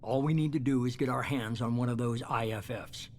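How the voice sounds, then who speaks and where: An actor playing a shadowy, coolly self-justifying middle-aged leader in a video game, measured, authoritative and unapologetic. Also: male voice, mid-range voice, slight background noise, natural voice. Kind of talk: dramatic video game dialogue.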